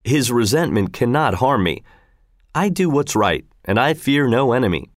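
A man's voice: medium pitch, lively intonation, moderate pace, dry recording, studio quality, close to an everyday voice. A man reading a story voices a bold, easygoing hunter and father who speaks casually and self-assuredly.

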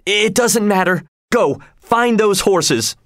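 A man's voice: high-pitched, lively intonation, slow, fairly bright tone, no room sound, clean studio sound, full character voice. A man reading aloud, voicing an impulsive young lover who talks with urgency. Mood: urgent. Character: young male lover, passionate and rash.

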